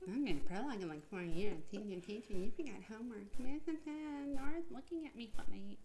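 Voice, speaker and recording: A woman's voice: fairly high pitch, lively intonation, moderate pace, mellow. A woman chatting casually as an author and co-reader, with joking, playful asides. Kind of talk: casual, bantering chat and book reading.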